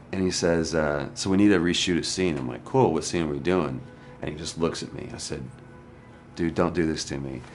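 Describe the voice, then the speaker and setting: A man's voice: very deep voice, normal speed, faint music behind. A man telling a personal anecdote as an actor, animatedly acting out the dialogue he quotes.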